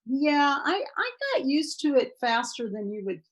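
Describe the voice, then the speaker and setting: A woman's voice: high-pitched, sing-song, moderate pace. A woman who grew up in Thailand, a guest author chatting casually in an interview and laughing as she tells anecdotes.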